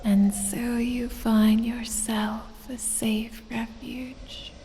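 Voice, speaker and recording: A woman voicing a sultry, predatory lamia who teases and coaxes with quiet menace in a breathy, whispery ASMR roleplay, drawing out her words seductively. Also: little pitch movement, slight background noise. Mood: coaxing, teasing. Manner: slow, drawn-out, seductive teasing with low chuckles. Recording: long cavernous reverb.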